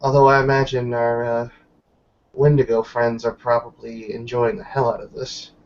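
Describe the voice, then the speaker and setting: A man's voice: medium pitch, little pitch movement, normal speed, muffled recording, lightly acted. A man playing a tipsy, cocky young female werewolf in a tabletop game, with a wry, teasing manner.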